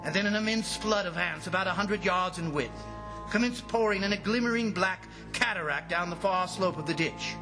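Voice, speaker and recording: A man playing an anxious commissioner in an old-time radio drama, telling of horror in a dramatic, suspenseful and deliberate way. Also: high voice, rough recording. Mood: grim dread. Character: horrified, anxious commissioner recounting an ant invasion. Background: loud dramatic music.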